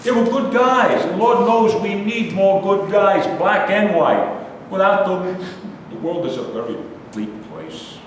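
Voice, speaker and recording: A man in a staged play reading, playing a bitter, nostalgic, judgmental city loner who rants haltingly. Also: high-pitched, lively intonation. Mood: defensive, nostalgic. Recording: very echoey hall, distant mic, faint noise.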